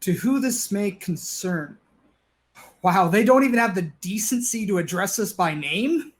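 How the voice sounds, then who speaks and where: A man's voice: high-pitched, lively intonation, measured pace. A man acting an exasperated, fussy neighbor, complaining and sarcastic, in a comedic play. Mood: bewildered, indignant.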